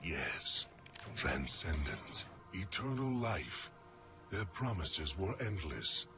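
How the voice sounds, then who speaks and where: An actor playing a proud, embittered alien elite warrior in a game cutscene, grave and emphatic in delivery. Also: male voice, deep voice, sing-song, slow, exaggerated voice. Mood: bitter, reverent. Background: cinematic music.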